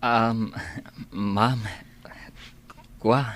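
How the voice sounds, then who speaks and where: A man's voice: lowish voice, fairly even intonation, drawn-out words, fairly bright tone, slight background noise. A man playing a reluctant, respectful employee in a radio drama, speaking with hesitant candour. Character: reluctant, deferential male employee revealing painful truth.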